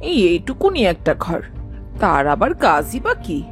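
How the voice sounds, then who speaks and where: A woman's voice: fairly low pitch, huge pitch swings, moderate pace, faint music behind. A woman playing an older village mother-in-law in a cartoon, bossy, carping and full of self-pity. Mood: complaining. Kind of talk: dramatised cartoon dialogue.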